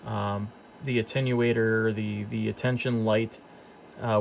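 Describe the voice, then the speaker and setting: A man's voice: medium pitch, vocal fry, slight background noise. A man giving a casual, unscripted equipment demonstration as a hobbyist technician, thinking aloud hesitantly as he goes. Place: service workshop, indoors.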